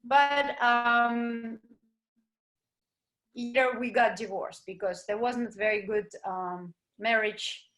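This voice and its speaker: Woman, lively storyteller. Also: fairly high pitch, slow pace, poor audio. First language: Russian. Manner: animated storyteller, acts out quotes. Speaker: guest, ballroom dancer. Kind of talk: casual interview chat.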